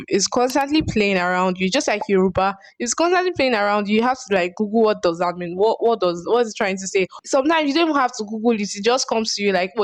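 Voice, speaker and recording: A young woman with a Nigerian accent talks earnestly to her viewers, often circling back to restart a phrase. Her voice sits at an ordinary pitch. It is recorded close and dry, with no room sound. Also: no echo. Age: young adult. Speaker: vlogger. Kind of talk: casual advice vlog.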